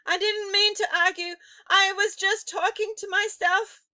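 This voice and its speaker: A woman acts out a wretched, timid witch from a children's storybook. The voice is very high and sharp, crying out and pleading in fear of a terrifying leader. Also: little pitch movement, a little slow, heavily acted. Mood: frightened pleading. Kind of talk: dramatic storybook character reading.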